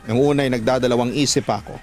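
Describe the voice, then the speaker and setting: A man delivers scripted first-person narration for a radio drama. His voice sits at a middling-to-higher male pitch, with clear music playing behind him. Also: steady tone, quick pace.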